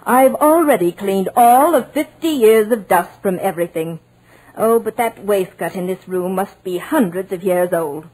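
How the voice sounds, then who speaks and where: Woman acting fussy village housekeeper, husky voice, superstitious, talkative, deferential, old radio drama. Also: mid-range voice, normal speed, darkish tone, close to an everyday voice, low-quality recording. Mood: fussy, foreboding.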